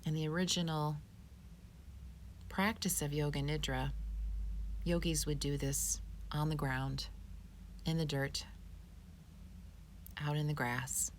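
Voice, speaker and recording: A woman teaching yoga, giving guided meditation instruction in a calm, soothing, unhurried manner. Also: deep voice, a little hiss or hum.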